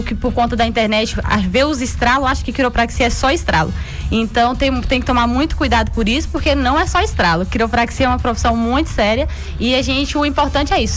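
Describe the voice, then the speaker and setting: Female chiropractor interview guest, young adult, Bahian accent, somewhat high pitch, talkative explainer, faint music bed. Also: fairly bright tone. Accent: Bahian Brazilian. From Guanambi region, Bahia, Brazil. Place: radio station studio. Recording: music behind the voice.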